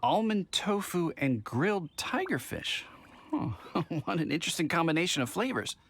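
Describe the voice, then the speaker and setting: A man's voice: on the high side, sing-song, moderate pace, fairly bright tone, husky, exaggerated voice. A man playing a genial, practical middle-aged innkeeper in a video game, chatty, thoughtful and polite. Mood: genial, helpful. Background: music.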